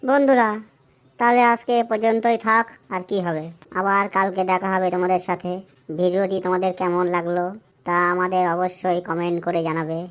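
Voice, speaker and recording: A woman's voice: lowish voice, steady tone, a little fast, lightly acted. A woman voicing a cheeky, smart-alecky young schoolboy in a comic cartoon. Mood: chatty.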